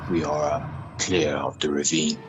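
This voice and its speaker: Very deep, flat-voiced expedition leader played by a man. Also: normal speed, background noise. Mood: tense, focused. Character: John, gruff commanding expedition driver, tense and sarcastic. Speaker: actor in amateur radio play. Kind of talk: sci-fi radio play acting.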